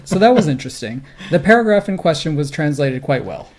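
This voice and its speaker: Higher-voiced man in casual podcast chat. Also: big pitch swings, brisk, a little mellow. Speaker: podcast co-host. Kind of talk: casual sports talk, conversational commentary.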